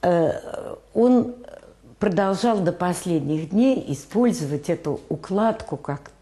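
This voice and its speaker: Slow, low-voiced older woman guide. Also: animated, warm tone. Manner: hesitant, many filler sounds. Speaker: museum guide. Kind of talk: museum tour explanation. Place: museum room indoors. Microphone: lapel.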